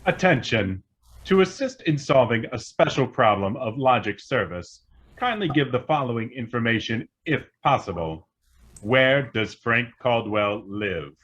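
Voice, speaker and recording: Man acting a formal, husky announcing machine. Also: medium pitch, lively intonation, a little slow, fairly bright tone. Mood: impersonal. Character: male electronic household computer, polite and impersonal. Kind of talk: old-time radio drama acting.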